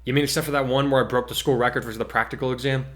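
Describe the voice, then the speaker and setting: A man's voice: mid-range voice, steady tone, rapid-fire, fairly bright tone, natural voice. Man voicing a grumbling, sarcastic teenage boy hero. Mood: smug. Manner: grumbling, wry. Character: Kurai, laid-back sarcastic teenage boy hero student. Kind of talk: dramatic reading of fan fiction.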